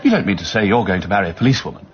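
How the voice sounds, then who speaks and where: An actor playing a genial, chatty upper-class English gentleman in a radio drama, breezy in manner. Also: low voice, animated, rapid-fire. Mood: genial, curious. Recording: dull radio recording.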